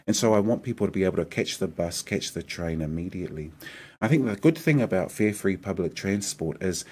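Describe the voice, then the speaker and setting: A man's voice: sits low, a little fast, breathy edge. A man, a political candidate answering interview questions earnestly in a small studio at a desk microphone. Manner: earnest, persuasive, animated hand gestures. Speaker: mayoral candidate guest. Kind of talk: conversational interview. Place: small studio with decorated backdrop.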